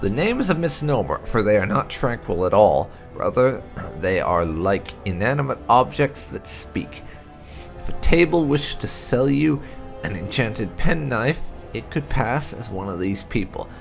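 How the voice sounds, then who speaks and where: A man narrating a video game playthrough, reading lore aloud in an expressive, measured storytelling way with emphatic phrasing. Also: mid-range voice, gravelly, faint music behind. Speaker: gaming channel narrator.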